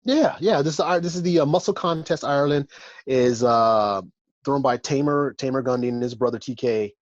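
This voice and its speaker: A man's voice: medium pitch, normal speed, no room sound, highs cut off. A man in his forties from Texas, a guest on a podcast, talking in a laid-back way and laughing easily. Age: 40s. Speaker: bodybuilder guest. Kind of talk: casual interview chat.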